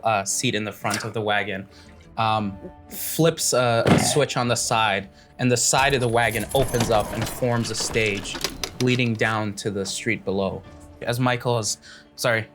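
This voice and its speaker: A man's voice: medium pitch, a bit bright. A man narrating a tabletop fantasy game as the game master.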